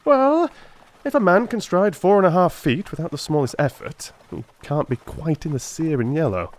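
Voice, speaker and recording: A man voicing a brisk, self-assured detective who lectures precisely and emphatically, in a dramatised Victorian audiobook. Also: a little high, animated, voice pushed up, close to an everyday voice. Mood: proud, explanatory.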